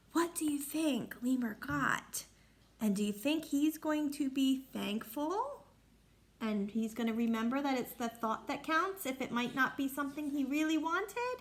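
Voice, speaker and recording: Woman, high voice, bright tone, children's read-aloud, instructive, questioning. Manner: instructive, asks listeners questions. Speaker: teacher reading aloud to children. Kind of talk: picture-book read-aloud with explanations.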